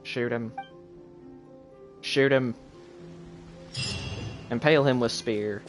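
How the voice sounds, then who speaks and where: A man's voice: medium pitch, fairly even intonation, slow pace. Male game streamer, casual live commentary, offhand, thinking aloud, prominent music behind.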